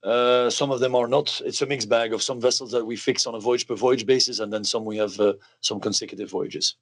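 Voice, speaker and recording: Male executive, mid-pitched voice, measured and explanatory, fielding questions on an earnings call. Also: steady tone. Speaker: company executive.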